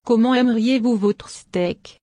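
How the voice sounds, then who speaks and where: A woman reading short French questions for a language lesson in a clear, scripted way. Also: fairly high pitch, measured pace, mellow, no room sound, studio quality. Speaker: French dialogue reader.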